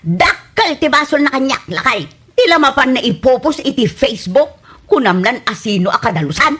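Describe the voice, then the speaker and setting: A woman's voice: sits high, big pitch swings, moderate pace, a bit bright, husky, exaggerated voice. A woman playing a touchy, hot-tempered wife who nags and scolds in an Ilocano comedy radio drama, with an Ilocano accent. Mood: indignant. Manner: nagging, indignant.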